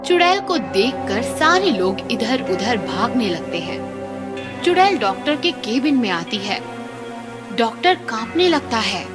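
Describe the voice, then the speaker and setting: A woman narrates a cartoon horror tale in a high, lively voice, rising and falling with drama. Loud music runs under her narration the whole time. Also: unhurried. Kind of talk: scripted storybook narration.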